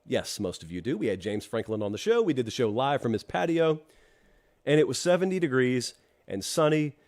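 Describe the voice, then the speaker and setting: A man's voice: mid-range voice, big pitch swings, brisk, darkish tone. Chatty male host, stuffed-up voice. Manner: chatty, wry asides to the live chat. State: congested from allergies. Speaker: college football show host. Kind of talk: live-streamed solo talk show with ad read.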